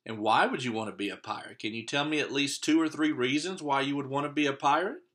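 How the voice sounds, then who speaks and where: A man's voice: mid-range voice, fast, fairly bright tone. A man reading a picture book aloud expressively as a teacher, stopping to put questions to young listeners.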